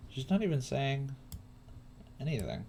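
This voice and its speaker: A man's voice: medium pitch, very animated, a little slow, gravelly, warm tone, slight background noise. A man teaching coding on a live stream, muttering and questioning himself as he troubleshoots.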